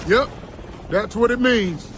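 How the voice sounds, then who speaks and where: A flippant soldier wisecracks in a high voice, curious and offhand in video game style. Heavy mechanical noise surrounds him. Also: male voice, slow pace. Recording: noisy mechanical background.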